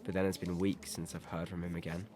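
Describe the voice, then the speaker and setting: A man's voice: sits low, flat delivery, fast talker, mellow, close to an everyday voice. A man playing a sincere, reflective teenage boy in an audio drama. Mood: sad, reflective. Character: Peter, wistful teenage boy attached to his best friend.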